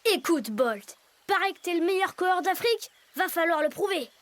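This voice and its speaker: Plucky boy leopard cub: high youthful voice, confident, animated series, faint music. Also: normal speed. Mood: challenging. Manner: spirited, confident. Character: brave young male leopard cub, clever challenger.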